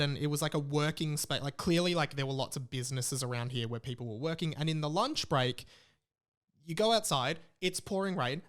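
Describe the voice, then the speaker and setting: A young Australian man with a fairly high voice hosts a podcast. He rants casually and comically, exaggerating and hammering points home by repeating them. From Melbourne, Australia. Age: late 20s. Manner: animated, exaggerating, emphatic repetition. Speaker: podcast host. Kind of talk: casual comedic rant.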